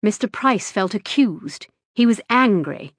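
A composed middle-aged hospital consultant, read by a woman, speaks in clipped, formal sentences. The voice sits at an ordinary middle pitch. The sound is dry and close, with no room around it. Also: lively intonation, measured pace, no echo. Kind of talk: audiobook character reading.

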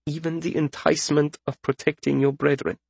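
A man's voice: on the high side, flat delivery, brisk, gravelly, darkish tone, husky, no room sound, voice pushed up. A man voicing a defiant, fanatical young purifier in an audiobook, with a righteous, declaiming manner. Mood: accusing.

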